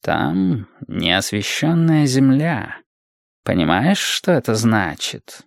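A man voicing a calm, cultured, ancient male guardian from another world, velvety and formal, with measured, deliberate phrasing. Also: medium pitch, gravelly, no echo, studio quality, full character voice. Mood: calm, thoughtful.

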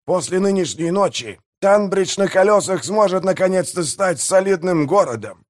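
A man's voice: high-pitched, animated, moderate pace, no echo, studio quality, voice pushed up. A man voicing a boastful, greedy pirate mayor in an audiobook, blustering and commanding in a theatrical way. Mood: gleeful, scheming.

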